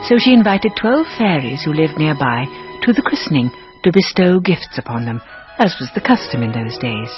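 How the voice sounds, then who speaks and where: An actress playing a worldly French countess and storyteller in a radio drama, husky, aristocratic and drily ironic. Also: female voice, sits low, big pitch swings, normal speed, lo-fi sound, soft background music. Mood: storytelling, ironic.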